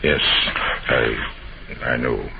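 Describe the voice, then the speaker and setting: An actor playing a shrewd, confident master detective with an assured, emphatic delivery in an old-time radio drama. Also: male voice, very deep voice, very slow, gravelly, full character voice. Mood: hushed, grave. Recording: noisy, muffled old radio broadcast.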